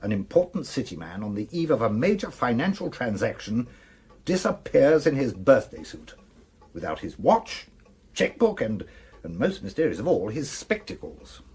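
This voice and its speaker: An actor playing an earnest, methodical police detective with an English accent, reporting facts briskly in a radio drama. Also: male voice, mid-range voice, very animated, a little mellow, slightly rough, full character voice. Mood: puzzled, intent. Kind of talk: BBC radio drama acting.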